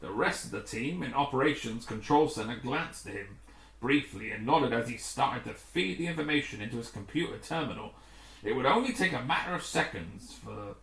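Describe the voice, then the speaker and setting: Animated male story narrator in an echoey room. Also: mid-range voice, creaky. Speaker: audiobook narrator. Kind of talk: scripted story narration with acted dialogue. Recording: echoey, roomy home recording.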